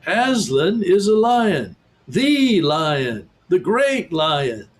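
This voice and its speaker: A man reading aloud and voicing an excitable, earnest male beaver who talks emphatically. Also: high-pitched, very slow, voice pushed up, heavily acted. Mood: eager.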